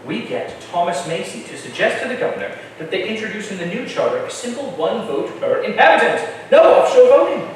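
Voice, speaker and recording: Man as a high, swooping colonial reformer. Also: sing-song, a little fast, few pauses, noisy background. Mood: urgent, persuasive. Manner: declamatory, persuasive. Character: idealistic, scheming male colonial reformer, confident and persuasive. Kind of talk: staged musical reading, spoken and sung. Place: live staged reading in a large echoey room. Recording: echoey room from far off, faint music.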